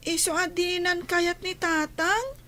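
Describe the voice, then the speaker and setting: Very high-voiced, wistful young child. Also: female voice, unhurried, sharp tone, heavily acted. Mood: sad, longing. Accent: Ilocano. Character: young child missing absent mother, plaintive and questioning. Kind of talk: Ilocano radio drama acting.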